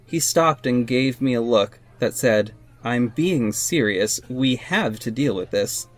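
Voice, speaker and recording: A wounded ex-lover, slow and earnest. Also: male voice, mid-range voice, lively intonation, faint music behind. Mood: somber narrating. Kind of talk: audio drama acting and first-person narration.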